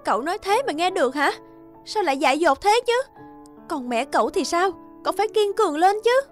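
Very high female voice, weary cartoon wife, expressive, music behind. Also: a little fast. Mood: concerned. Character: weary, fed-up young wife and caring friend. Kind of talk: animated cartoon dialogue. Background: background music.

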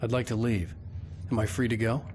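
A man's voice: mid-range voice, little pitch movement, brisk, a little mellow, a little hiss or hum, lightly acted. An actor voicing a terse, brooding male writer who narrates a video game. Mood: curt. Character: weary troubled male novelist. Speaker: protagonist and narrator.

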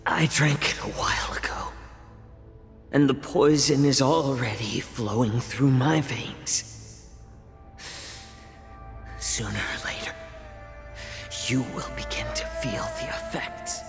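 An actor playing a weary, stern yet self-sacrificing fox-eared healer in a game, speaking gravely and haltingly. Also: male voice, mid-range voice. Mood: weakened, grave resolve. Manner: measured, grave, halting delivery. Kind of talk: dramatic game voice acting. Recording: long reverb with music behind.

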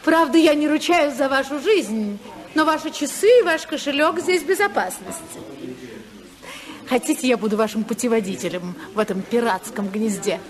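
High-voiced, poised society hostess. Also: female voice, lively intonation, moderate pace. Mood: teasing, intense. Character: poised, teasing society hostess, magnate's rebellious daughter. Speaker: actress in a radio play. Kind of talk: 1950s radio drama acting. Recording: 1950s radio, chattering crowd behind.

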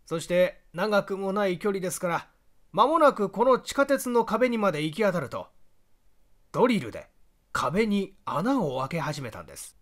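Mystery audiobook role: male reader as a self-assured investigator, high voice with lively swings, laying out facts emphatically and courteously. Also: moderate pace. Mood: assured explaining. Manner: emphatic, expository, polite address. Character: confident male investigator explaining a spy plot to listeners. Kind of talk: audiobook character dialogue.

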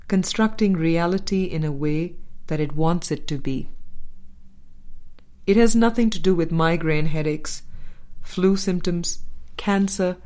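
A woman narrating a spiritual book aloud in a measured, deliberate way, pausing between phrases. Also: low-pitched, darkish tone. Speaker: audiobook narrator.

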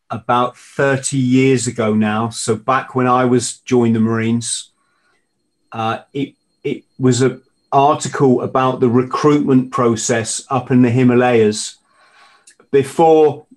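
Middle-aged British podcast host, medium pitch. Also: male voice. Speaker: podcast host, former marine. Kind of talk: casual interview. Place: indoor room, video call.

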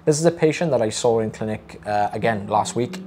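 A man's voice: lowish voice, fairly quick, noisy background. A young man with a broad, strong South Welsh accent, chatting casually and a little hesitantly as a co-presenting audiologist. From South Wales. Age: young adult. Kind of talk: casual chat.

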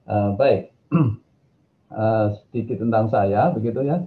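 Thin, phone-like video-call audio carries a middle-aged man with a Javanese accent. His voice is low and creaky. He lectures informally and conversationally. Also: slow pace. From Indonesia. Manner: conversational, mixes in English phrases. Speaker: guest lecturer, academic. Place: indoor office on a video call.

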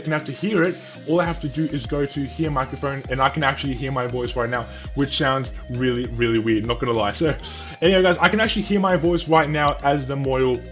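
A young man giving a casual software tutorial with his voice run through a voice changer. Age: young adult. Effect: male-pitch voice changer. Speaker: tutorial video host. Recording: faint music behind voice.

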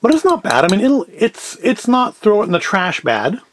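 A man's voice: sits high, huge pitch swings, normal speed, a little hiss or hum. A man, chatting casually through a food review in a wry, self-deprecating, rambling way. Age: 40s. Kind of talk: casual review chat to camera.

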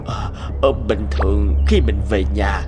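A man playing an anxious, greedy middle-aged merchant in a cartoon, with an expressive, blustering delivery. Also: on the low side, unhurried, music in the background, natural voice. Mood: pondering. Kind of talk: cartoon voice acting.

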